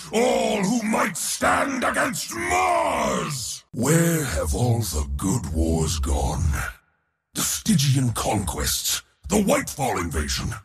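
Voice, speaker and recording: A swaggering god of war from a video game, a man hungry for battle and very pleased with himself. His fairly high male voice has a gravelly edge and swings hugely in pitch. The delivery is grand, boastful and theatrical. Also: fairly bright tone, in a large hall, exaggerated voice. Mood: wistful, grandiose. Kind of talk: video game character voice lines.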